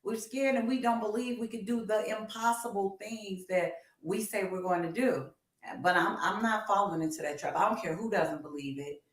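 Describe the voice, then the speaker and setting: A woman's voice: mid-range voice, normal speed. A woman in her fifties co-hosting a casual livestream, talking animatedly and encouragingly straight to her viewers. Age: 50s. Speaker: co-host of a music talk livestream. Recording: roomy, echoey livestream sound.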